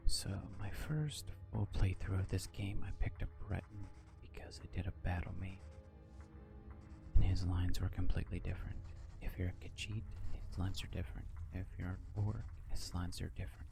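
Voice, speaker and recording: A gaming streamer, male, murmuring hushed ASMR commentary. His voice is low, monotone and creaky, and clear noise sits behind him. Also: normal speed, over music. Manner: hushed, often whispering. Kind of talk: soft-spoken ASMR game commentary. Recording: clear background noise, game ambience.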